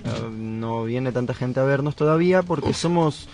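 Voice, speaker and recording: A man's voice: mid-range voice, normal speed, a little mellow. An Argentine man, a touring folk musician, chatting playfully in a radio interview. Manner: playful, joking, expansive. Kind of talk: casual radio interview chat.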